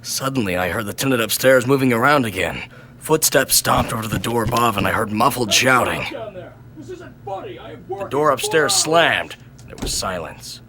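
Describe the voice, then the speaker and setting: A man reading a first-person horror story in an intense, theatrical manner that swings between hushed and loud. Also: medium pitch, very animated, normal speed. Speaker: narrator and reader of a horror story.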